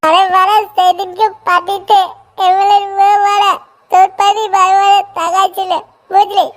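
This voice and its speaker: A man's voice: extremely high pitch, little pitch movement, normal speed, a bit bright. A man voicing a crude, scheming drinking buddy in a comedy cartoon. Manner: coarse, slangy, swearing. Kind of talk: amateur comedy cartoon dub.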